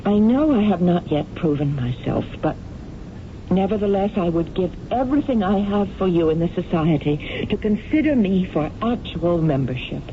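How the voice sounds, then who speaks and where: An actress playing an idealistic, lovestruck young actress, earnest and heartfelt, in a 1970s radio drama. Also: female voice, on the low side, expressive, moderate pace. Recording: tinny, hissy old radio broadcast.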